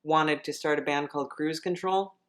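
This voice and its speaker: Female interviewer, American, low-pitched voice, informal conversational delivery. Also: fairly even intonation, normal speed. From San Diego, United States. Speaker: podcast host and interviewer. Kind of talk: casual chat interview.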